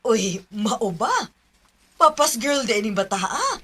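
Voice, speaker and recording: A woman's voice: a little high, big pitch swings, takes it slowly, pushed and bright, husky, heavily acted. An actress with a Filipino accent playing a kindly, motherly grandmother in a Bisaya radio drama. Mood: gently teasing. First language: Cebuano. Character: kindly grandmother, gently admonishing family matriarch.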